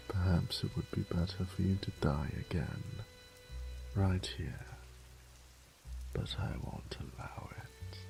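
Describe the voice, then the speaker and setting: Vampire lord, horror audio drama: cruel, gloating, very slow and gravelly, theatrical, drifting into whispers. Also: male voice, low voice, heavily acted, poor audio. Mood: hungry, possessive. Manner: slow, theatrical, menacing, lapsing into breathy whispers. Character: cruel, gloating male vampire lord savoring his human prey. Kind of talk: scripted horror audio roleplay.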